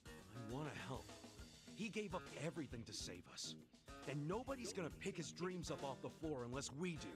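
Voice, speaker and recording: Middle-aged male game hero: informal, emphatic, very lively delivery, music behind. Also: on the high side, fairly quick. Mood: earnest, pleading. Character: earnest, big-hearted middle-aged hero, thick build. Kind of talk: video game voice acting. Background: guitar music.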